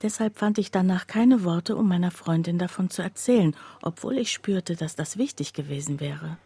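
An actress playing a troubled woman who recounts her own breakdown in a radio play, with a husky, breathy voice. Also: fairly low pitch, moderate pace, warm tone. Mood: uneasy, hushed. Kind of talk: scripted first-person radio play narration.

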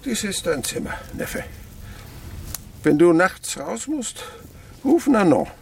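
An older man playing a miserly, gruff provincial uncle in a radio drama, blustering and wry in a husky, gravelly voice. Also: high-pitched, sing-song, takes it slowly, a little mellow, exaggerated voice. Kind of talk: German radio drama acting.

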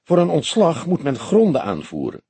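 A man voicing an older male police detective in an audiobook, calm, sceptical and deliberate, with measured, formal phrasing. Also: fairly high pitch, husky, no echo, pitched above the performer's own voice, full character voice. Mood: sober, sceptical. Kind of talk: audiobook character dialogue.

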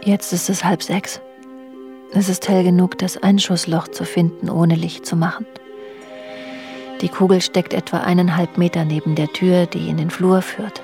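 An actress playing a brooding, suspicious middle-aged wife in an intimate radio drama, hushed and halting, often slipping into a whisper. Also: female voice, fairly low pitch, level tone, faint music behind, natural voice. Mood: quiet, searching. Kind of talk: intimate German radio drama acting, dialogue and inner monologue.

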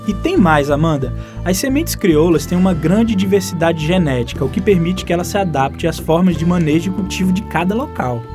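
A man's voice: high-pitched, expressive, fairly quick, few pauses, over music. A man presenting scripted radio narration.

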